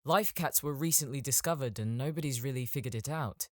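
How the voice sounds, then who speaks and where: A man's voice: mid-range voice, a little fast, a little mellow, dry recording, clean studio sound, close to an everyday voice. A man playing a showy young male game mage with a theatrical, announcing manner in an audiobook. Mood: matter-of-fact.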